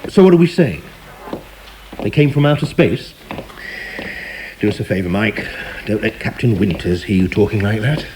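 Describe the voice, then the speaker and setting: A man's voice: lowish voice, animated, moderate pace, long pauses, background noise, close to an everyday voice. An actor playing a sardonic, sceptical newsroom man in a radio drama. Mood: sceptical, mocking.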